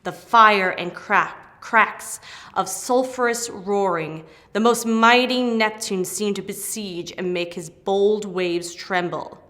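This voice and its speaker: A woman's voice: mid-range voice, slow pace, sharp tone. A woman playing an eager, proud airy spirit servant in a declamatory, emphatic Shakespearean stage reading. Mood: vivid, excited. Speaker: actor in dramatic reading.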